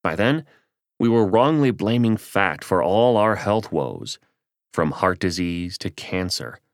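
A man reading a nonfiction audiobook as its narrator, in a measured, articulate way. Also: low voice, lively intonation, no room sound, clean studio sound.

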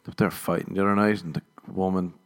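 A man's voice: sits low, flat delivery, fast, gravelly, clean tone. A man, a comedian hosting a podcast, rambling casually through stories, swearing and laughing at his own jokes. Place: living in Los Angeles.

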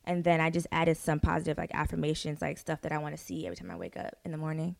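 A woman's voice: deep voice, racing, creaky, dry recording. A young woman, a singer, chatting casually as a guest, laid-back and full of "like" fillers and swearing. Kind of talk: casual interview chat.

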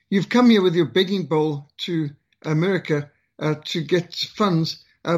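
An older man with a Rhodesian accent telling a personal story in an earnest, halting way, full of "uh" and "um". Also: on the high side. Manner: earnest, halting with frequent fillers.

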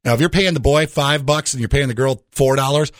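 A man's voice: mid-range voice, fairly quick, no room sound, studio quality. A man hosting a radio show with a jokey conversational monologue, putting rhetorical questions straight to the listener.

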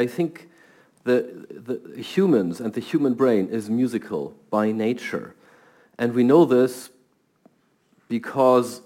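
A man's voice: mid-range voice, darkish tone. A middle-aged man from Germany with a German accent, a scientist answering interview questions thoughtfully and often repeating words as he starts. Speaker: guest scientist.

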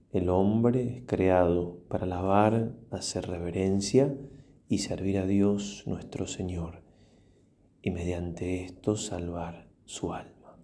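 A man, a priest leading a guided meditation and prayer in a slow, reverent manner with long pauses. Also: low voice, mellow.

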